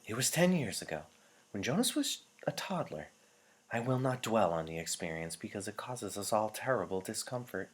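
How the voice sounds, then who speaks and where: A man's voice: on the low side, big pitch swings, voice pushed up. A man voicing a gracious, commanding female chief elder who addresses a crowd formally in an audiobook reading. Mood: solemn. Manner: formal, measured, solemn.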